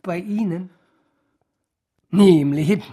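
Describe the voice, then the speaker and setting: A woman acting a scornful, sceptical character in a German radio drama, with a husky voice. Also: on the low side, takes it slowly, warm tone.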